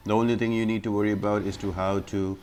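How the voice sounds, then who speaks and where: Low-voiced man giving a game walkthrough. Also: little pitch movement, fast, a little mellow, a little hiss or hum. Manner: instructional, step-by-step. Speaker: gaming guide host. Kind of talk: explanatory gameplay commentary.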